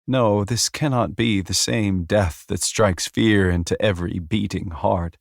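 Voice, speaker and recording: A man narrates a fantasy audiobook in a low voice with plenty of gravel. His reading is scripted and unhurried, captured in a very dry recording. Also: no room sound.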